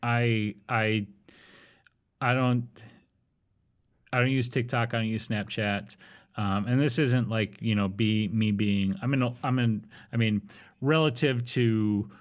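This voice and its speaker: A man's voice: mid-range voice, steady tone, slow. A man in his forties in casual podcast chat, candid and wry, often restarting his sentences. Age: 40s. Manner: candid, rambling with frequent restarts, wry humor.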